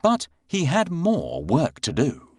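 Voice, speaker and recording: A man narrating a scripted children's bedtime fairy tale with expressive, dramatic delivery. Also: a little high, slow, no echo, studio quality. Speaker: storyteller narrator.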